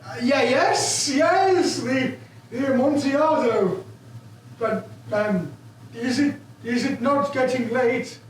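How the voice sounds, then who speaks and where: A high, echoing, desperate doomed nobleman. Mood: faltering, pleading. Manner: halting, stammering, repeating words. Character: drunken walled-in nobleman, desperate, feebly joking, pleading. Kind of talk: gothic audio drama acting. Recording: cavernous echo, distant from the mic.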